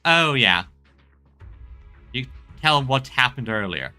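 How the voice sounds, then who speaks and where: A man streams a game with casual commentary in a very bright, forceful voice of middling pitch. He is informal and loose as he reacts to the dialogue. Faint music plays behind him. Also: lively intonation, slow pace. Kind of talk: casual playthrough commentary, reading and riffing on dialogue.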